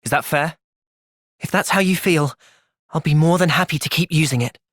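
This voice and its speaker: An actor playing an earnest, thoughtful young male hero in a fantasy video game, speaking sincerely and clearly. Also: a little high, moderate pace, fairly bright tone, no echo, studio quality. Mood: calm, measured. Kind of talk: video game cutscene voice acting.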